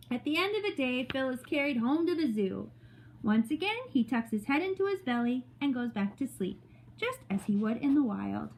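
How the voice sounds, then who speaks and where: A woman teacher reading a story aloud to young children in an expressive way, with casual spoken asides. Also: high voice, slight background noise, poor audio. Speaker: teacher reading story time to second graders.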